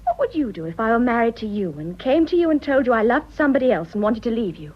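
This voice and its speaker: High, breathy young British wife. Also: female voice, very animated, fast. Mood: indignant. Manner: earnest, emotional. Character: torn, passionate young English wife in love. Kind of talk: radio drama acting. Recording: dull, muffled radio drama audio.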